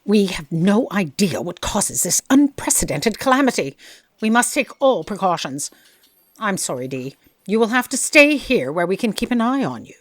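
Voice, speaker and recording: A woman playing a brisk, commanding editor-in-chief in an audio drama. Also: medium pitch, sing-song, dry recording. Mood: firm.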